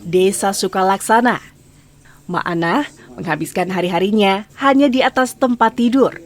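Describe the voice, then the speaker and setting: Female Indonesian news anchor, medium pitch, scripted broadcast delivery.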